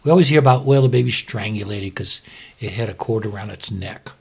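An older man with a husky voice, co-hosting a podcast as a doctor and explaining medical matters conversationally in plain words. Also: on the low side, fairly quick, a little mellow. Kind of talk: casual explanatory podcast talk.

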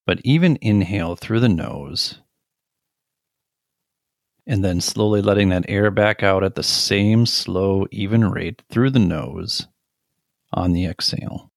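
A middle-aged man leading a guided meditation in a gentle, deliberate manner with long pauses. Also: low-pitched, gravelly, no room sound, clean studio sound.

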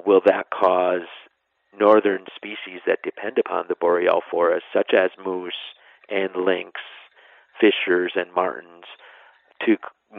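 A low-pitched man with a creaky, gravelly edge explains things as an expert guest in an interview. His phrasing is informal and sometimes trails away at the end. The sound is dry and a little dull, like a band-limited radio interview. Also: no echo. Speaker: national park superintendent, interview guest.

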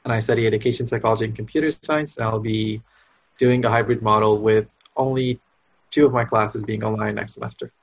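A man's voice: on the low side, steady tone, a little fast, gravelly. A man of about twenty from Cairo, a student guest introducing himself in a brief, matter-of-fact way. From Cairo, Egypt. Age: around 20. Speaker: panel guest, college student.

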